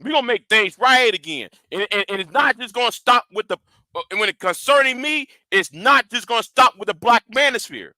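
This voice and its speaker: High, forceful man ranting at his critics. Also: animated, fairly quick, no echo. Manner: confrontational and profane, repeats and restarts words; challenging his audience directly. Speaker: YouTube commentator. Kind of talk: ranting commentary vlog.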